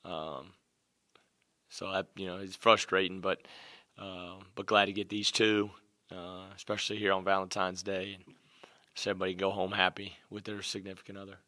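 A middle-aged man, a basketball coach, answering questions at a postgame press conference from behind a desk mic, measured and full of "um" and "you know". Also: sits low, level tone, bright, forceful tone, no room sound, studio quality. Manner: measured, lots of "um" and "you know", repeats phrases. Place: press room, seated at a table before a logo backdrop. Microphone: desk mic on a stand in front of him.

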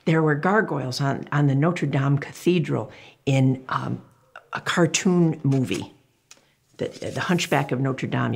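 An older woman reading a picture book aloud to children, animated and exclamatory, asking the young listener questions as she goes. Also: extremely low pitch, creaky, slightly rough.

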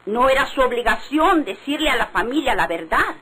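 A woman's voice: high-pitched, lively intonation, brisk, bright, forceful tone. An actress playing a stubborn, suspicious village woman in a vintage Mexican radio drama, with a Mexican accent. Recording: faintly noisy old radio recording.

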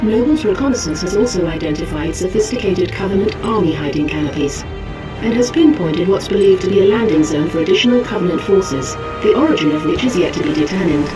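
A woman voicing a composed, formal military briefer, narrating in a measured way for a video game. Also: on the low side, lively intonation, over music, natural voice, poor audio. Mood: grave, composed.

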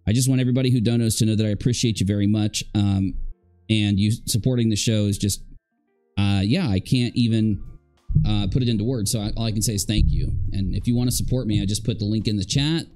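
A man's voice: on the low side, fairly even intonation, quick pace, darkish tone, faint music behind. A man in his thirties giving casual, sarcastic and emphatic live commentary as a stream host. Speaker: livestream host. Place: indoor room at home.

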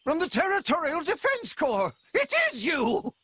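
A man's voice: very high-pitched, very animated, moderate pace, sharp tone, dry recording, heavily acted. A man voicing a folksy, admiring villager who reminisces in a video game. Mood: excited, nostalgic. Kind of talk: video game cutscene acting.

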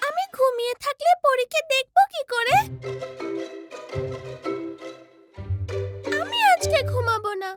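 Little girl, children's cartoon, extremely high voice, eager, curious, wide-eyed, loud background music. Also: expressive, moderate pace. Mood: curious, excited.

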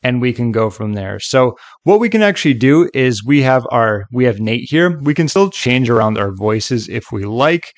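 A man narrating a software tutorial in a casual, conversational way with chatty asides. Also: medium pitch, lively intonation, moderate pace, few pauses, no echo.

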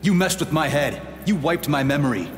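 A hot-tempered soldier played by a man barks aggressively at a high, wildly swinging pitch. It is blunt game cutscene acting that rings through a reverberant hall with music behind. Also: animated, normal speed, natural voice. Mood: furious, betrayed. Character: hot-tempered, foul-mouthed male soldier.

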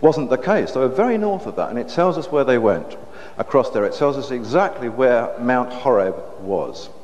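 A middle-aged man giving a public lecture on a lapel mic, talking in a measured way with frequent restarts and repeated words. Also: medium pitch, sing-song, a little mellow. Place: stage with curtain backdrop, indoors. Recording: dull lo-fi hall recording with faint hiss.

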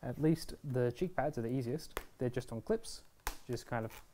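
A young man presents a how-to guide in a casual voice. He explains each step in an easygoing, reassuring manner, at a medium pitch. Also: normal speed, dark tone, slight background noise. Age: 20s. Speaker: product reviewer and presenter. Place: indoor shop video studio, standing at a table.

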